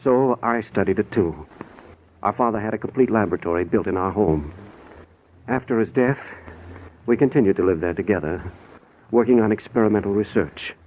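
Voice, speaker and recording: A man's voice: lowish voice, moderate pace, lightly acted. An actor playing an earnest, frantic middle-aged man pleading to be believed, in dramatic 1940s radio style. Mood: earnest, brooding. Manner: urgent, dramatic, theatrical. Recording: scratchy narrow-band 1940s radio recording.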